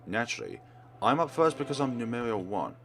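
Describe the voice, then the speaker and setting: A man's voice: medium pitch, sing-song, a little fast, fairly bright tone, a little hiss or hum. A man narrating a let's play, reading a visual novel aloud as dramatic storytelling. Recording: game music behind voice.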